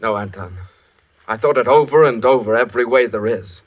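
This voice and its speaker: A man's voice: mid-range voice, a little fast, highs cut off, noisy background, natural voice. An actor playing a troubled, evasive man in a 1940s radio drama, on an old recording. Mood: upset, evasive. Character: troubled, evasive man fleeing a former lover.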